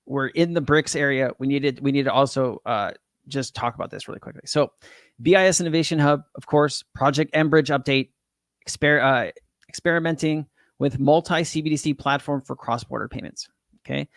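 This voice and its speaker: A man from California with a hoarse voice, casually explaining crypto payment systems as a channel host and often restarting mid-sentence. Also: mid-range voice, normal speed, no echo, clean studio sound. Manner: rambling, restarts, reads from screen. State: hoarse, losing his voice.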